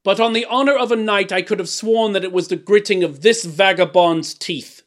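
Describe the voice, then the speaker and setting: A man's voice: moderate pace, a bit bright. A man voicing a tyrannical, mocking king in a storybook reading, roaring out impatient commands. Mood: indignant. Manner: roaring, booming commands and forced laughter. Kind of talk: theatrical storybook character acting.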